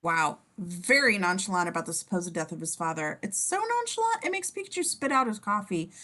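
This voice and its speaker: A woman's voice: mid-range voice, big pitch swings, brisk, sharp tone. A woman reading screenplay scene descriptions aloud as the narrator of a table read.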